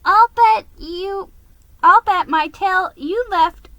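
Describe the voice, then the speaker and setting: Cross storybook fire mouse, stern and scolding, pushed high and loud played by a woman. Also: takes it slowly, no room sound, dull audio, exaggerated voice. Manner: stern, forceful.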